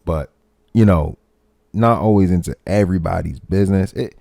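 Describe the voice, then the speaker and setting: A man's voice: deep voice, moderate pace, vocal fry, dark tone, dry recording, clean studio sound. A young man hosting a casual reaction video, greeting his chat in laid-back slang. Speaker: reaction channel host.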